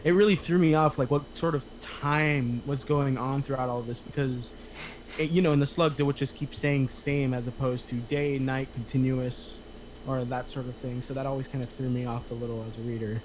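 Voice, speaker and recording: A man's voice: medium pitch, steady tone, normal speed, a little hiss or hum, rough recording. A man chatting casually as he gives reader feedback, hesitant and searching for his words. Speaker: panelist, script reader.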